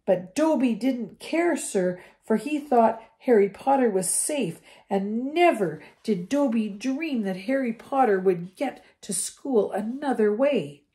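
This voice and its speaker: A small, anxious house elf in a storybook, his voice pitched high and squeaky, breaking into meek pleas and whispers, as a woman puts him on. The tone underneath stays dark and mellow. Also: lively intonation, takes it slowly, few pauses. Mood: pleading. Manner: squeaky, pleading, sometimes whispering.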